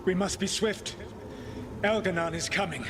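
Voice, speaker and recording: An actor playing an ancient, proud elven rebel god in a game, speaking in a measured, formal, grave way. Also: male voice, high-pitched, vocal fry, very noisy. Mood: urgent. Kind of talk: dramatic game dialogue. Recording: loud music behind the voice.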